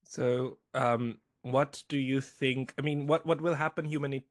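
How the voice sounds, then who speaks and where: Male host, medium pitch, conversational, slightly halting as he frames questions for a guest. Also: fairly even intonation. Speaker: interviewer, host.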